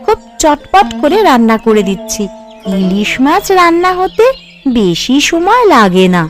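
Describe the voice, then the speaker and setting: A woman plays a young housewife in a family cartoon. Her voice is very high, with an earnest, anxious, explaining manner. Faint music plays underneath. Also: very animated, unhurried. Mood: placating. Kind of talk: cartoon voice acting.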